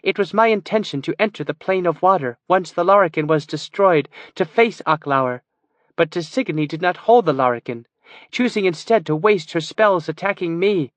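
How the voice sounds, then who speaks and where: A man's voice: high voice, expressive, normal speed, no echo, voice pushed up. A man voicing a proud, stern female elf wizard who speaks in a commanding, cryptic way. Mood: urgent.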